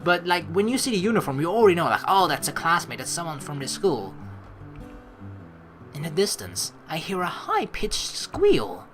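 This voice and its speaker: Low-pitched woman, expressive delivery, let's play narration and casual asides, faint game music behind. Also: moderate pace. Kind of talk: reading game narration aloud with casual commentary.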